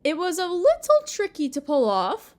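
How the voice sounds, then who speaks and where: A woman playing a doting, possessive Mother Earth goddess in a roleplay audio drama, sweet and cooing as if to a small child, with gentle menace. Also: very high voice, huge pitch swings, moderate pace, heavily acted. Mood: delighted doting.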